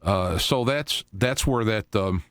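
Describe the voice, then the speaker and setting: A man hosting a talk radio show solo, speaking off the cuff in a halting, rambling way, often repeating and restarting phrases. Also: fairly low pitch, fairly bright tone, smooth, dry recording, clean studio sound.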